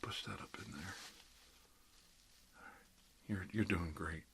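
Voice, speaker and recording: A low-pitched man plays a dentist in an ASMR roleplay. He rambles in a wry, conversational way, with reassuring asides to his patient. There is noticeable noise behind his voice. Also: slow pace, creaky, fairly bright tone. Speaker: ASMR performer playing a dentist.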